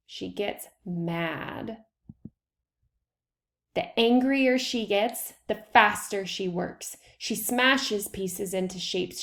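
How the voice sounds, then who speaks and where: Female storybook reader, unhurried and fairly slow, noticeable vocal fry, expressive emphasis for young listeners. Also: mid-range voice. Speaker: read-aloud reader. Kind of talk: children's picture book read-aloud.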